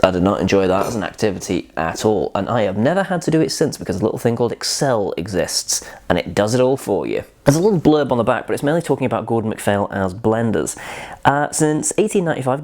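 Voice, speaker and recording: A man's voice: lowish voice, a little mellow. A man hosting a casual whisky review vlog, chatty and rambling, often poking fun at himself. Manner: chatty, rambling and self-deprecating.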